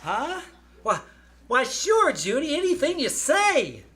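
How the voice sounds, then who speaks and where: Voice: sits high, wildly swooping pitch, slow, heavily acted. An actor playing a gullible teenage boy, eager to please yet reluctant, in old-time radio comedy style. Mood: eager, wary. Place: live on a small theater stage.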